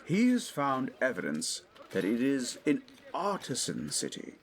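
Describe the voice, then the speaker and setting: A man acts out a middle-aged English archaeologist of the 1920s in an audio drama. He is formal and professorial, choosing words with deliberation. His medium-pitched voice rises and falls widely with scholarly eagerness. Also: wildly swooping pitch, unhurried. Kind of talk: scripted audio drama acting.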